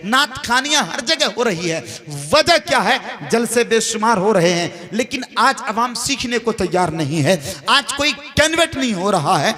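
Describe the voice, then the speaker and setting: High-pitched, forceful man preaching. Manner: exhorting, emphatic, rhetorical repetition and questions. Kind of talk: impassioned religious sermon.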